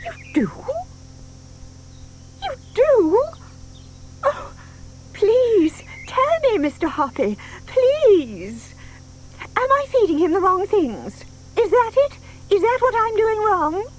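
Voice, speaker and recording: Gushing, high-voiced doting lady. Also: very animated, heavily acted. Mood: eager, pleading. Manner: gushing, cooing, drawn-out emphatic delivery. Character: doting, sentimental older widow cooing over her pet tortoise. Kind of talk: audio drama acting.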